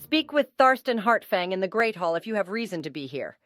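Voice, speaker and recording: A woman's voice: mid-range voice, very animated, fairly quick, over music, natural voice. A woman playing a wary, curt Nordic villager in a fantasy video game, unwelcoming toward outsiders. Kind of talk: scripted video game character dialogue.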